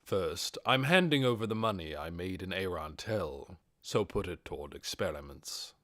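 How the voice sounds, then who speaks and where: A man's voice: low-pitched, animated, normal speed, fairly bright tone, dry recording, voice pushed down. Man voicing an awkward undead overlord, dry sound. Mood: businesslike. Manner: hesitant, sighing, then businesslike. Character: awkward, flustered undead male overlord with secret self-doubt.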